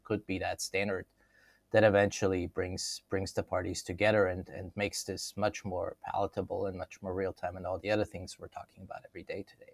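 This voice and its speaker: A man's voice: lowish voice, darkish tone, dry recording. A middle-aged man from Austria, a company CEO talking through his career in a podcast interview, thoughtful, often restarting his phrases. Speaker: CEO guest. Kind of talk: conversational interview.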